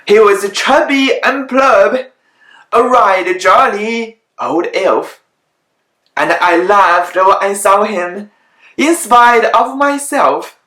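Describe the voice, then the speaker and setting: A woman reads children's rhymes aloud as a storyteller. She takes her time, pausing between phrases and dropping in small asides about the pictures. Her middle-pitched voice rings in an echoey room. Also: fairly bright tone. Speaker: storyteller reading children's Christmas verse. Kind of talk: dramatic picture-book reading with asides.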